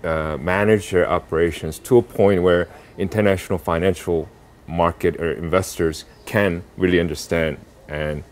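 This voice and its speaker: Low-voiced man, halting interview answers. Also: steady tone. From South Korea. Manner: halting, many fillers and restarts. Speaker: impact investor.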